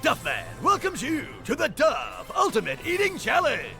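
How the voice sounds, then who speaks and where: A man's voice: very high voice, huge pitch swings, normal speed, creaky, sharp tone, faint music behind, full character voice. A man voicing a showy, boastful beer mascot who announces an eating contest and talks about himself in the third person. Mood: hyped.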